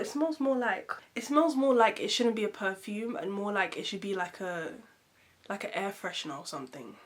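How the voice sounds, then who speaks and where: A woman reviewing perfumes in a casual vlog. She sounds nasal and muffled from a cold, and she talks in a chatty, expressive way with lots of fillers. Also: mid-range voice. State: congested from a cold.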